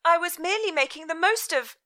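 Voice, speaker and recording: Narrator lady doing a young victorian girl, high pleading voice, bright. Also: expressive, brisk, exaggerated voice.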